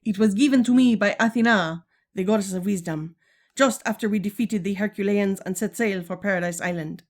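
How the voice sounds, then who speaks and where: A woman's voice: mid-range voice, vocal fry. Woman playing a regal, proud Amazon queen. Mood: proud, stately. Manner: formal, measured, instructive. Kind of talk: 1940s-style radio serial acting.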